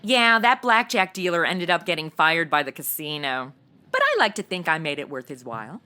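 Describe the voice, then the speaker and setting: An actress playing a flighty, self-absorbed young podcaster in a comedy audio drama, chatty, slangy and gushing. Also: female voice, lowish voice, big pitch swings, normal speed, pushed and bright. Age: young adult.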